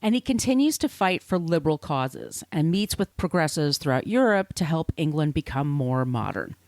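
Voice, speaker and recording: A woman with an American accent and a slightly low voice that moves around a lot in pitch. She tells stories as a podcast host in an informal way, dropping wry asides, and the sound is close and dry. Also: very animated, normal speed, darkish tone, no room sound. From United States. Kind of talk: conversational storytelling from notes.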